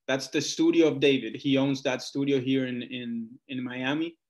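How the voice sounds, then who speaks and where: A man's voice: mid-range voice, steady tone, normal speed. A man from Argentina, a musician being interviewed, chatting informally and telling his story with plenty of conversational asides. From Argentina, Buenos Aires. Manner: informal, talks in stories, often says "like" and "you know". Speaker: guest musician, singer-songwriter. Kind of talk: casual interview chat.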